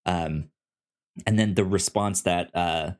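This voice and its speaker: Male podcast co-host, low voice, casual conversational delivery, halting and stammering over word starts. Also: a little mellow. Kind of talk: casual discussion of an audio drama.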